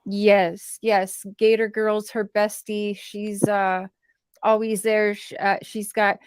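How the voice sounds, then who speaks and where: A woman chatting casually in an earnest, protective and halting way, as on a livestream. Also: mid-range voice, little pitch movement, clean tone, no echo.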